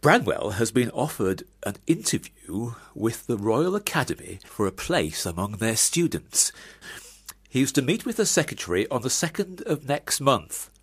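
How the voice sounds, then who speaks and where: A man's voice: mid-range voice, big pitch swings, normal speed, husky. An actor playing a proud, pious clergyman father in a radio drama, speaking in a formal, sermonising manner. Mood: proud, solemn. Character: proud, pious Victorian clergyman father, exclamatory and scripture-quoting.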